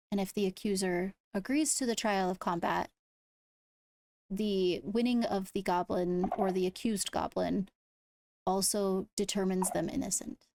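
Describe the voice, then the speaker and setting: Creaky-voiced woman playing a measured, caring ruler. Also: mid-range voice, a little mellow, a little hiss or hum. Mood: earnest, measured. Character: caring, earnest female ruler holding court. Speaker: player leading a roleplay court. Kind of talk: improvised Minecraft roleplay.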